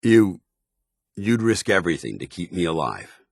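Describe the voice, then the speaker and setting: An actor playing an earnest, honour-bound soldier paladin in a video game, with a firm voice and grave, formal, deliberate delivery. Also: male voice, low-pitched, big pitch swings, creaky, breathy edge, dry recording, clean studio sound, heavily acted. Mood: moved, determined. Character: earnest, honour-bound military paladin, a synthetic man troubled by his identity. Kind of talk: dramatic video game dialogue.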